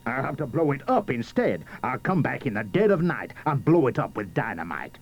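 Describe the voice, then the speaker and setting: A man's voice: medium pitch, big pitch swings, brisk, pitched above the performer's own voice. A man voicing a greedy, boastful big bad wolf, gloating and blustering in a comic storybook tale. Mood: menacing. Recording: slightly dull, faint noise.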